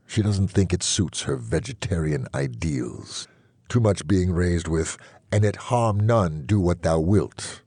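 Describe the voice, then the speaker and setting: A man narrating an audiobook as a wry, melancholy immortal vampire, reflective and dryly witty, in a husky voice. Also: darkish tone, dry recording, exaggerated voice. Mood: resigned, loving. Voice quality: low, husky. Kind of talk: first-person audiobook narration.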